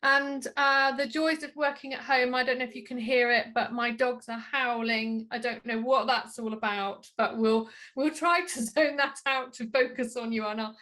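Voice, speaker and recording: A woman's voice: fairly high pitch, steady tone, moderate pace, few pauses, pushed and bright. A woman hosting an online conference, politely and appreciatively thanking and introducing speakers from her home. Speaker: conference host. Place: at home, on a video call.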